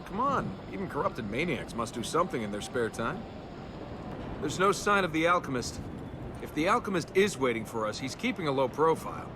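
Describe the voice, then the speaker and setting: Wisecracking young adventurer, lively delivery. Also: male voice, a little high, a little fast, background noise. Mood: flippant. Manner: sarcastic, flippant banter. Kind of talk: video game voice acting.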